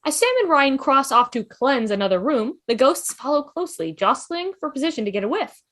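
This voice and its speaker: A woman's voice: sits high, lively intonation, fairly quick, a bit bright. A woman reading stage directions as scripted narration at a table read over a video call. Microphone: video call microphone.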